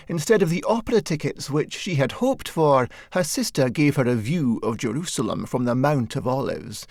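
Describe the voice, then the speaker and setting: Male audiobook narrator, wry, measured storytelling, lively varied intonation, dry studio-like sound. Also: medium pitch, no echo. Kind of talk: scripted narration of a satirical short story.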